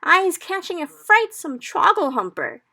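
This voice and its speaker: A woman's voice: on the low side, animated, moderate pace, creaky, heavily acted. A woman doing a ranting, word-mangling friendly giant. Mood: distraught. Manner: ungrammatical made-up words, loud anguished exclamations. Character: giant, big friendly male, mangles grammar, excitable.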